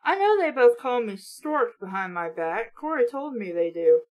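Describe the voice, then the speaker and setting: A woman's voice: fairly high pitch, big pitch swings, moderate pace. A woman reading the part of a self-pitying, insecure twelve-year-old schoolgirl who narrates a storybook in an aggrieved way.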